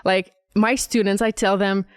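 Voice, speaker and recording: A young woman with a French Canadian accent talks easily as a podcast guest, breaking into laughter and restarting her phrases often. Her voice sits in a middle pitch and is recorded close and dry, with no room behind it. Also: fairly even intonation, normal speed, clear, resonant tone, no room sound. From Montreal, Canada. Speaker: guest, singer and vocal coach. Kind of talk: casual podcast interview.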